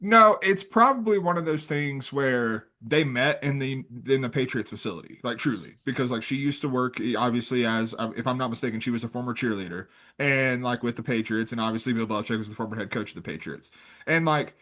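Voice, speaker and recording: A man's voice: mid-range voice, fairly quick, pushed and bright. A man co-hosting a sports podcast, giving casual commentary in a discursive, conversational way with plenty of qualifying. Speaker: podcast co-host.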